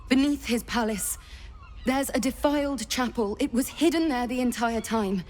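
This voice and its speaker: A woman playing a bitter, defiant vampire spawn in a video game, speaking gravely. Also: a little high, moderate pace, darkish tone, natural voice.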